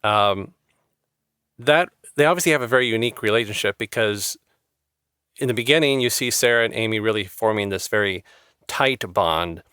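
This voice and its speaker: A smooth, low-voiced male interviewer. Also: normal speed, bright, forceful tone, dry recording, clean studio sound. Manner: affirming, with filler-laden questions. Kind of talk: casual interview.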